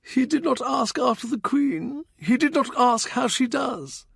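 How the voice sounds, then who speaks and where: A man voicing a gentle, anxious archbishop with a tentative, pleading manner in an audiobook. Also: very high-pitched, animated, unhurried, creaky, husky, no echo, clean studio sound, pitched above the performer's own voice, heavily acted.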